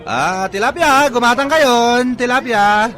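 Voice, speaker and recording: An actor playing an excitable, put-upon husband who sells fish in a comic Ilocano radio drama, exclaiming and wailing melodramatically. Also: male voice, very high voice, slow, a faint crowd, exaggerated voice. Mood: hawking loudly. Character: excitable hard-pressed husband Berto, a tilapia fish vendor.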